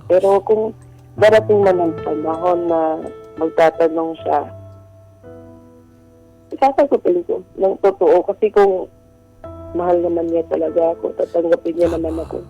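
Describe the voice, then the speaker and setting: A woman's voice: lowish voice, steady tone, normal speed, pauses often, dark tone, dull audio, faint music behind. A woman calling a radio show, talking casually and confessionally in an earnest, hesitant way. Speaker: radio show caller sharing a secret.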